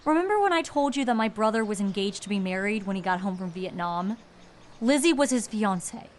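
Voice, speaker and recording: A woman's voice: medium pitch, animated, brisk, a little hiss or hum, close to an everyday voice. An actress playing a hurt, betrayed woman in an audio drama, bitter and biting in manner. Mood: stunned, bitter.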